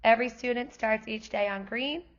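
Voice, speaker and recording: A woman's voice: on the high side, normal speed, lo-fi sound. A woman kindergarten teacher who is losing her voice, explaining class information to parents in a friendly, explanatory way. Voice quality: gravelly, worn voice. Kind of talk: parent night presentation.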